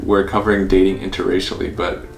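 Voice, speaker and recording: A man from the United States co-hosting a casual dating vlog, relaxed and joking in an easygoing chat. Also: sits low, fast talker, creaky. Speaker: co-host, boyfriend. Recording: roomy echo.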